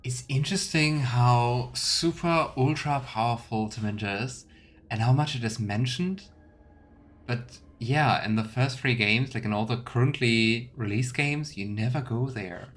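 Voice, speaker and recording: A man's voice: medium pitch, unhurried. A man streaming a video game, giving casual commentary and thinking aloud. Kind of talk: casual let's play commentary.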